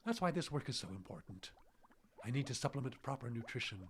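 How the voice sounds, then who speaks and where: An actor playing an elderly, guilt-ridden scientist with failing memory, speaking formally and haltingly in a radio drama. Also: male voice, medium pitch, sing-song, fairly bright tone. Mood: regretful, anguished. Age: older. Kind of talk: live radio drama acting. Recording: noisy live radio drama recording.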